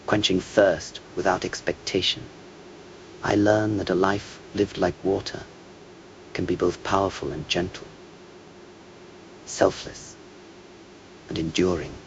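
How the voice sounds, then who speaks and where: Slow, deep, gravelly man in hushed monologue. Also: steady tone, lo-fi sound, a little hiss or hum. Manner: measured, contemplative. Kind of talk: slow meditative scripted monologue.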